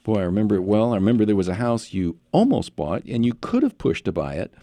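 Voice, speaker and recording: A man's voice: on the low side, big pitch swings, fast, mellow, no room sound. A man hosting an interview podcast on a headset mic, chatty and rambling with many follow-up questions. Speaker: podcast host. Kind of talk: casual interview chat.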